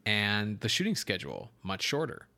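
A man co-hosting a film podcast, explaining things in a measured way as he moves between prepared notes and discussion. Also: fairly low pitch, huge pitch swings, bright, forceful tone. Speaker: podcast co-host.

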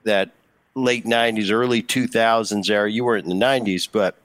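A man who is a former player hosting a podcast, asking questions in a halting, repetitive, chatty way. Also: lowish voice, steady tone, fairly bright tone, no room sound. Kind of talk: casual interview chat.

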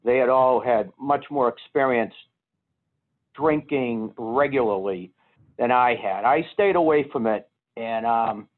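Boston man on a tinny phone line. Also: medium pitch. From Boston area, USA. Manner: plainspoken, unhurried storytelling. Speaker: interview guest. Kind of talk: casual conversation.

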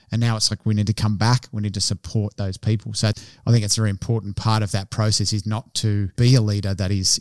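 A man's voice: fairly low pitch, darkish tone, no echo, studio quality. A man co-hosting a podcast who talks through long, reflective points and then puts questions to his guest. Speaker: podcast co-host. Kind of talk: conversational podcast interview.

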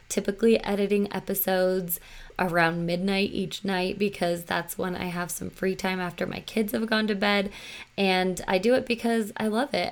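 A woman in her thirties hosting her podcast, slightly hoarse after losing her voice, talking in a chatty, earnest and self-reflective way. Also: mid-range voice. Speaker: podcast host. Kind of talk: solo podcast talk.

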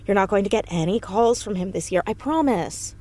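A woman plays an earnest teenage schoolgirl in an audio drama. Her delivery is natural and very animated, rising and falling freely as she argues her case with stubborn conviction. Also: mid-range voice, a little fast, lightly acted. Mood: insistent, breezy. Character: earnest, stubborn fourteen-year-old schoolgirl activist.

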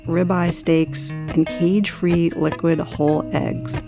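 A woman's voice: lowish voice, level tone, takes it slowly, gravelly. A middle-aged woman reading her own first-person audiobook as a scripted narration. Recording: guitar music under narration.

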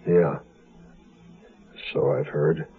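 An actor playing a desperate, brooding escaped convict, moody and terse, in a 1970s radio drama. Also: male voice, very deep voice, fairly even intonation, extremely slow, slightly rough, full character voice. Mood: restless, tense. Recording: very narrow-band 1970s radio recording.

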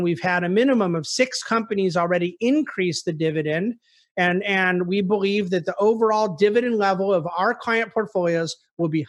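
A man speaking as an investment officer on a conference call, giving articulate, emphatic explanations in answer to investor questions. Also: sits high. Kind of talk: conference call market commentary.

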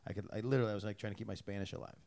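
A man's voice: on the low side, steady tone, racing, gravelly, a little mellow. A man hosting a podcast interview, talking in a loose, rambling way with many restarts. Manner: rambling, restarts, swears casually. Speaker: podcast host. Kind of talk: casual interview chat.

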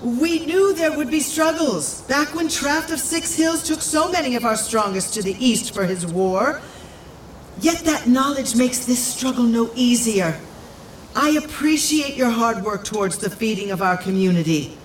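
A woman playing a venerable, firm village matriarch, speaking in formal, measured public address in an audio drama. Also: a little high, lively intonation. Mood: stately, formal. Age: older. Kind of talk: scripted audio drama acting. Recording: echoey, faint background noise.

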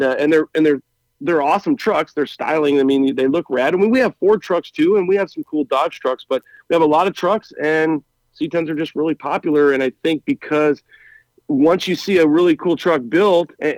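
A man talking casually and at length on a podcast, with a somewhat high voice. He rambles eagerly and stumbles over his starts, often repeating words. The recording is close and dry. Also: a little mellow, dry recording, poor audio. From Montana. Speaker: guest, firefighter and truck podcaster.

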